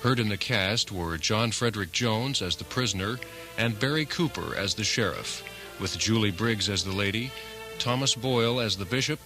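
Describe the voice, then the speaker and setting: A man announcing radio drama credits in a scripted style, with music behind him. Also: fairly low pitch.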